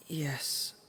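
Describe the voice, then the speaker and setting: A woman voicing a shy, awkward young teenage boy in a video game, hesitant and soft-spoken. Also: background noise. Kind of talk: English video game voice acting. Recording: game music behind the voice.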